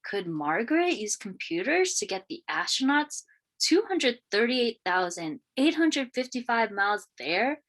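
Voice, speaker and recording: A woman reading a children's picture book aloud in an expressive, deliberate storytelling manner. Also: fairly high pitch. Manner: expressive, questioning lifts and deliberate phrasing. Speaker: read-aloud storyteller, teacher.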